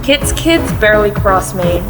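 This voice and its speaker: A young female performer playing a sassy, excitable fifteen-year-old girl in an audio play, slangy and gushing. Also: medium pitch, measured pace, lightly acted. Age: teenager. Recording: music behind.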